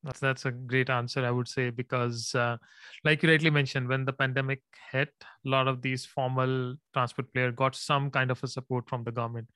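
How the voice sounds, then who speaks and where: Friendly male host with a flat tone. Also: medium pitch, moderate pace, clean tone, dry recording. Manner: friendly, repeats words, non-native phrasing. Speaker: interview host. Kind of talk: interview conversation.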